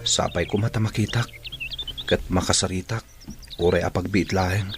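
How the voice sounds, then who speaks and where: A man's voice: low voice, steady tone, moderate pace. A man acting an earnest, respectful young student and son in an Ilocano radio drama, with an Ilocano accent. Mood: anxious. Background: music.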